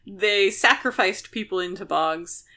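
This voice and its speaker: Bright-voiced woman explaining things conversationally. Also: medium pitch, measured pace. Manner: explanatory, conversational, frequent filler "like". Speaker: podcast co-host. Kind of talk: casual book discussion.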